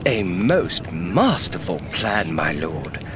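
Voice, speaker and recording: A man's voice: sits low, very animated, slow, loud music behind the voice, exaggerated voice. A man playing a sly, fawning villain's henchman in an audio drama, oily and theatrical.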